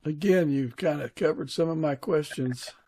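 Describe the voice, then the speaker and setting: A man's voice: fairly high pitch, normal speed, darkish tone, a little hiss or hum. A man hosting a podcast and interviewing a guest in a casual, conversational way.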